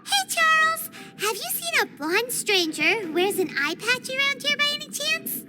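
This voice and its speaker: Squeaky, excitable little fairy companion. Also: female voice, normal speed. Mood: excited, cheeky. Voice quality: squeaky, childlike. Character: Paimon, tiny floating fairy companion, childlike, excitable, cheeky. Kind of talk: anime video game voice acting. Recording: game music behind the voice.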